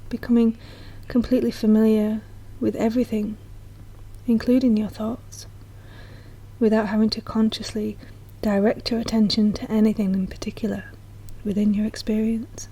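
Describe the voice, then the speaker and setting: A woman leads a guided meditation in a breathy, mellow voice. Her tone sits a little high and stays very even, with little rise or fall. She speaks softly and soothingly, with an unhurried delivery. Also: low-quality recording. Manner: soft, slow, soothing delivery.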